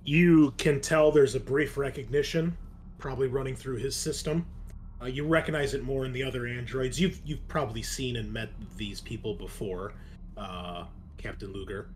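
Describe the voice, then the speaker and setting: A man's voice: medium pitch, steady tone, moderate pace. A man improvising narration as game master of a tabletop game in a conversational way. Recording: faint background noise.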